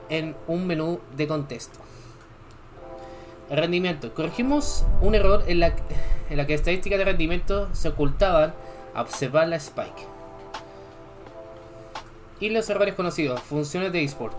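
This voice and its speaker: A young man from Chile, a streamer reading game patch notes aloud and chatting casually with viewers between points. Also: on the high side. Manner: casual, chatty asides between read-out items.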